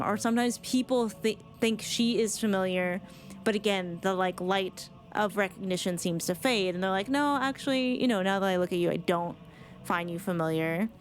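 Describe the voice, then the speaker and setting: Woman streamer chatting over faint game music. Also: mid-range voice. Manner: emotive, informal, sweary, rambling. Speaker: streamer reacting to game cutscenes. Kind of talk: casual live commentary.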